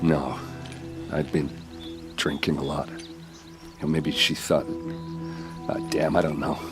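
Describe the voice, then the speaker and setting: An actor playing a gruff, hard-drinking, grieving soldier in a video game, with a blunt, weary, wry manner. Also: male voice, very deep voice, flat delivery, slow, music in the background. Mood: grieving, halting. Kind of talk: naturalistic video game acting.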